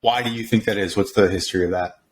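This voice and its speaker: A man's voice: on the low side, fairly even intonation, fast, a little hiss or hum. A middle-aged man hosting an interview podcast, opening the show and asking questions in an upbeat, enthusiastic way. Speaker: podcast host and company CEO.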